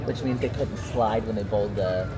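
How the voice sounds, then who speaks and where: A man co-hosting a theme park review in a casual, halting, hedging way. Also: lowish voice, background noise. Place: outdoors in a busy shopping district. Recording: loud music behind the voice.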